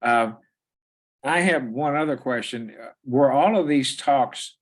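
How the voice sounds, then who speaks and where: A man's voice: mid-range voice, a little slow. A man in an informal meeting discussion, speaking hesitantly with many fillers and restarts. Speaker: teleconference participant.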